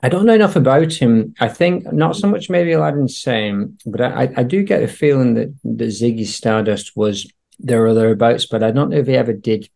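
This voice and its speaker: A man's voice: mid-range voice, fairly quick, vocal fry, a little mellow. A man hosting a casual music discussion, feeling his way through his points with some hesitation. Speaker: podcast host.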